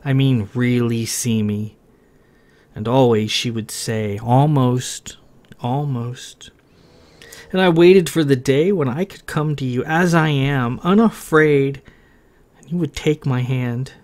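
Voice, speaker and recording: A man softly voicing a sorrowful young woman. She speaks quietly and slowly, her words low and restrained. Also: close to an everyday voice. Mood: sad. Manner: quiet, hushed, subdued. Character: shy, sad young woman, soft-spoken.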